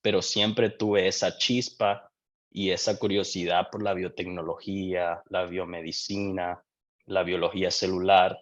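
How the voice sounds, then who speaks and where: A young man from Honduras, a guest in an interview, answering in a reflective, unhurried way with frequent restarts. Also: sits low, level tone, lo-fi sound. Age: young adult. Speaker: guest, doctoral student.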